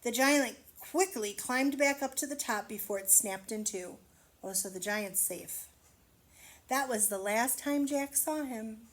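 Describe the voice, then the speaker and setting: A woman reading a storybook aloud to children, expressively, pausing to wonder aloud and explain things simply. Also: fairly high pitch. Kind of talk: children's read-aloud with asides to the listener.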